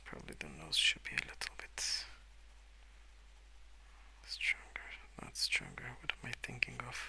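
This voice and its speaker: A man speaking softly and hesitantly in a rambling ASMR style, often slipping into whispers at a desk indoors. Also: mid-range voice, wildly swooping pitch, unhurried, creaky. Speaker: host of a colouring ASMR channel. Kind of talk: soft-spoken rambling ASMR. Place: at a wooden desk indoors, with pencil sounds.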